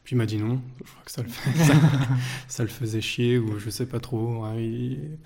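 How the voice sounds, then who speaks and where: A man's voice: medium pitch, moderate pace, gravelly, a little mellow. A man in his twenties, a guest in a casual interview, talking with many fillers and restarts. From France. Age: 20s.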